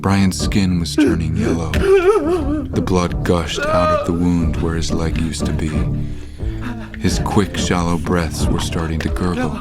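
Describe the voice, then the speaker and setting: Casting: male narrator for horror audio drama, deep register, scripted delivery over prominent score. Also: big pitch swings, measured pace, loud music behind the voice.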